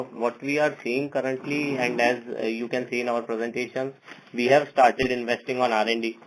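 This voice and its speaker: A man, a finance executive, explaining things haltingly on an earnings call. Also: mid-range voice, slight background noise, rough recording.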